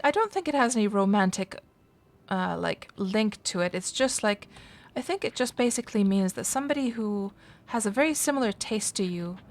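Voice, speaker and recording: Chatty woman streaming a game. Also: mid-range voice. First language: Finnish. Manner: chatty, thinks aloud with many fillers and restarts. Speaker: game livestreamer. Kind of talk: casual livestream chat, answering viewers.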